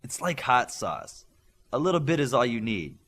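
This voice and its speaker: A man's voice: medium pitch, very animated, moderate pace, a bit bright. A man voicing a confident, proud and teasing young female superhero in a storybook reading, with emphatic delivery. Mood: assured.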